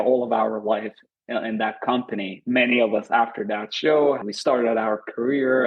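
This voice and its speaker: A man in his thirties with an Iranian accent, earnest and reflective, who often doubles his words for emphasis. His voice is breathy and a little husky and sits at an ordinary middle pitch. The podcast audio is muffled and lo-fi. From Iran. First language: Azerbaijani Turkish, Kurdish and Farsi. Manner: thoughtful, earnest, repeats words for emphasis, laughs easily. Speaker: lighting designer, guest. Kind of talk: podcast interview.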